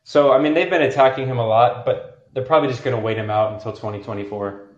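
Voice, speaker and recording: A man's voice: medium pitch, quick pace, highs cut off. A young man hosting a call-in podcast, talking casually and thinking aloud with many fillers and restarts. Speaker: podcast host taking calls. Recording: roomy echo.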